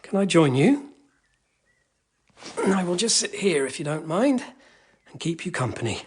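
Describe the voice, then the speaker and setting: An actor playing a kind, gentle English boy of good family in a period radio drama, coaxing and a little halting. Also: a little high, big pitch swings, breathy edge, full character voice.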